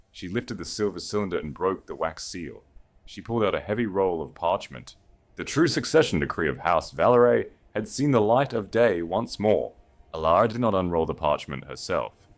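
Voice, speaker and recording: A man reading a dramatic story as an audiobook narrator, solemn and with deliberate emphasis. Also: low voice.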